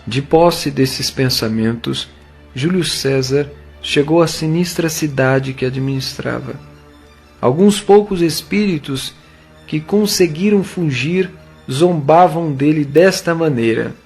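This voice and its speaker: A man with a Brazilian accent giving solemn, measured dramatic narration for an audio novel. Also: on the high side, a little mellow, husky, faint music behind.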